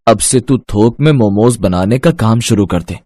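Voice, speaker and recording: A man playing a kind, wise middle-aged village father who drives an auto, speaking gently and reassuringly in a cartoon story. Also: lowish voice, normal speed, dry recording, clean studio sound, lightly acted. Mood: reassuring, determined.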